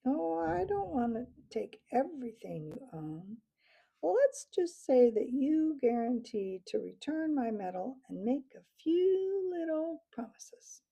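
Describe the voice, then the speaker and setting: A woman voicing a brave, stubborn young male mouse who shouts his challenges in a storybook reading. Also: high voice, big pitch swings, takes it slowly, creaky, slightly rough, voice pushed up, heavily acted, low-quality recording. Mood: cunning. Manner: earnest, defiant, shouting challenges.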